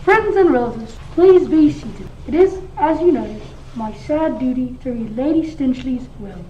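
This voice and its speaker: Teen girl as formal lawyer in amateur radio play, high mellow voice, measured delivery. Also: animated. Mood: formal, composed. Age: teenager. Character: formal lawyer reading out a will. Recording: roomy, distant, dull, faint noise.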